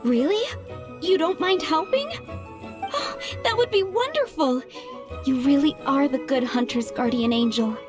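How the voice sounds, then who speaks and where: Polite busy waitress in an anime game, high lively voice, earnest, music behind her. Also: measured pace. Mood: relieved, grateful. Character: busy, polite young restaurant worker, earnest and appreciative. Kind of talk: anime video game voice acting.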